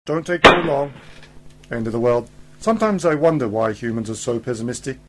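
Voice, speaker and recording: A man's voice: mid-range voice, expressive, fairly quick, close to an everyday voice. A man playing an eccentric, wry time-travelling uncle figure in an amateur radio play, with dry, teasing, philosophical delivery. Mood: dry, pensive.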